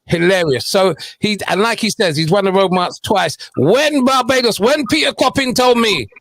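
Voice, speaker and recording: Gravelly-voiced chatty radio host man. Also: sits high, animated, normal speed, a bit bright, no room sound. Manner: chatty, playful, teasing. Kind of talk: casual radio interview.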